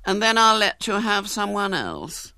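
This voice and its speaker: A tall, majestic dancing mistress with a genteel, coaxing tone, as a male storyteller puts her on. The voice sits well above his usual pitch and has a lively lilt. Close, dry recording. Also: measured pace, vocal fry, clear, resonant tone, dry recording, exaggerated voice.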